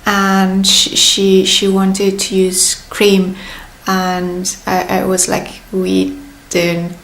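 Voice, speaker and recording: Young woman, Italian accent, casual vlog talk, fillers and restarts, gravelly voice, roomy echo. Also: medium pitch, monotone, very slow, a bit bright. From Italy. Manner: chatty, frequent restarts and fillers. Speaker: vlogger.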